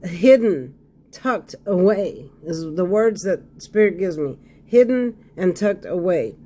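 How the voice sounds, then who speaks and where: A hoarse man giving a casual tarot reading to his viewers, repeating phrases and often checking in with "okay?". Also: high voice, expressive, slow pace, dark tone. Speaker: tarot card reader.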